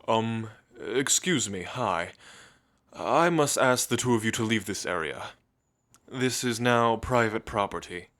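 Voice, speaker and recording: A man's voice: on the low side, expressive, unhurried, fairly bright tone. Guy doing a stiff formal scholar from the colonies, curt but polite, asmr roleplay. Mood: officious, polite.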